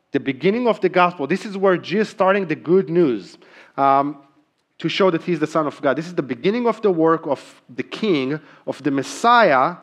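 Earnest, slightly high-voiced male pastor. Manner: earnest, with frequent ums and emphatic repetition. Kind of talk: sermon. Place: church sanctuary.

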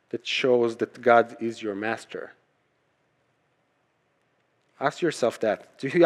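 Male pastor, native Hebrew speaker, preaching emphatically. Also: medium pitch. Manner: emphatic, repeats key phrases for weight. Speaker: pastor preaching to his church. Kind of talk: conversational sermon with rhetorical questions.

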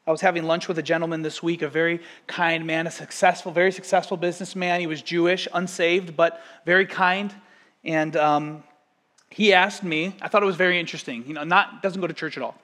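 A man's voice: on the high side, fairly quick. A man in his thirties preaching a sermon as pastor, talking conversationally and telling stories to the congregation. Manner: conversational, storytelling, animated asides to congregation.

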